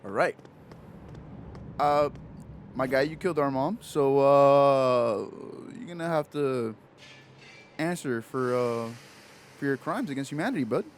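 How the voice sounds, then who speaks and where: A man's voice: medium pitch, unhurried, long pauses, a little hiss or hum. A man giving casual, slangy, joking gameplay commentary as a let's play host. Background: game music.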